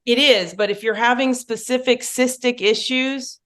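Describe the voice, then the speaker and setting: A woman's voice: fairly high pitch, steady tone, moderate pace, fairly bright tone. A woman makeup artist, chatting casually as a podcast guest with many fillers and asides.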